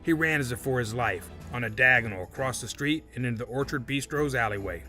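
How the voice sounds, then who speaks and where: A man's voice: mid-range voice, fast, pushed and bright, faint music behind, lightly acted. A man playing a composed, coldly polite male witness in an audio drama, speaking formally and unruffled.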